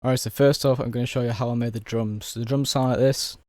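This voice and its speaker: A young man with a hoarse, worn voice, casually walking through a music production tutorial step by step with plenty of fillers. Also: mid-range voice, fairly even intonation, quick pace. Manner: laid-back, explains step by step with many fillers. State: hoarse, losing his voice.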